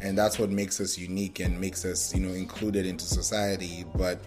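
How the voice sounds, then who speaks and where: Low-voiced man hosting an interview. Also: little pitch movement, normal speed, over music. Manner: affirming, agrees readily. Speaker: interview host. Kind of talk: casual interview chat.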